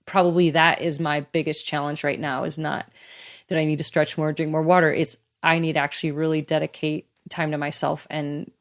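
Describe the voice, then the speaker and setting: A woman in her fifties, a guest in a casual interview, talking in a chatty, lively way and laughing easily. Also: low voice, fairly quick. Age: 50s. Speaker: guest athlete.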